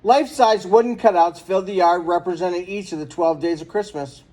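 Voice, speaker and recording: A man's voice: sits high, brisk, a little mellow, poor audio. A man reading a children's picture book aloud as a storyteller.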